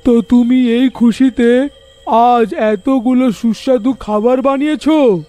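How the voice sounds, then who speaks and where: A man voicing a simple, weary ghost husband and father in a cartoon story, with a drawn-out delivery. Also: very high voice, fairly even intonation, mellow, soft background music. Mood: pleased.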